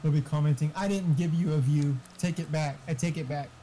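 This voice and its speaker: A man's voice: on the high side, steady tone, brisk, warm tone. A man chatting casually with a gaming friend over voice chat, joking crudely and swearing freely. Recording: faint background noise.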